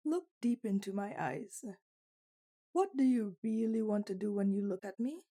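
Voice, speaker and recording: A woman's voice: mid-range voice, lively intonation, moderate pace, mellow, close to an everyday voice. A woman voicing a young bunny girl in an ASMR roleplay, teasing, candid and naively curious in an intimate one-sided conversation. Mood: teasing, tender. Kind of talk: ASMR roleplay audio drama.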